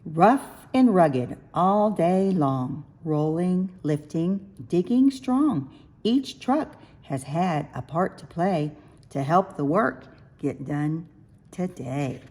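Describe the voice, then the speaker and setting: A woman reading a rhyming picture book aloud for young children, with expressive, sing-song, deliberate phrasing. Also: lowish voice. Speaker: children's storybook reader.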